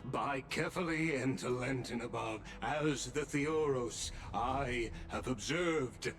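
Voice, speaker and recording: A grave official presiding over an assembly, in a medium-pitched voice with faint music behind. He is formal, composed and measured, a ceremonial video game character. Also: fairly bright tone. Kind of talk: dramatic video game voice acting.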